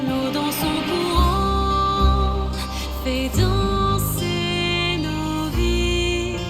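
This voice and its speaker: An actress playing a gentle, sorrowful young musical heroine, singing lyrically in a theatrical video game cutscene. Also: female voice, very high voice, music in the background, heavily acted. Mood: tender, yearning. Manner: lyrical, drawn-out. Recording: loud musical accompaniment.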